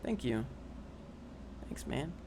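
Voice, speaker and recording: A man's voice: takes it slowly, dark tone, a little hiss or hum. A young man casually reading and answering chat on a livestream, dry and sarcastic, often rambling.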